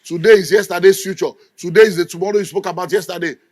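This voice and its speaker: A man's voice: high-pitched, steady tone, fast talker, no room sound, studio quality. A middle-aged Nigerian man preaching a sermon with an emphatic, scolding delivery. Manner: emphatic, scolding, mimics people in quotes. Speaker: pastor.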